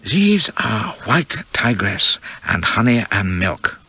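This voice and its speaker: A man voicing a courteous, very tall Chinese gentleman in an audiobook, speaking with formal, measured, self-deprecating politeness. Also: lowish voice, big pitch swings, slightly rough, no echo, heavily acted. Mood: humble, obliging. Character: suave, courteous, very tall Chinese male host, effusively humble. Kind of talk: audiobook character dialogue.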